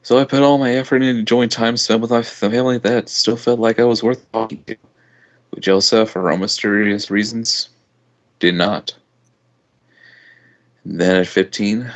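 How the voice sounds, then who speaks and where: A man reading a first-person story aloud informally, halting now and then with loose asides. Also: on the low side, fairly even intonation. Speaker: amateur fan fiction reader. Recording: dull lo-fi home recording.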